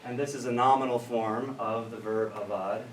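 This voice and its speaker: A man's voice: mid-range voice, fairly even intonation, moderate pace. American man lecturing in an echoey room. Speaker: academic lecturer in biblical studies. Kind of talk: scholarly lecture. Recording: roomy, distant mic with faint noise.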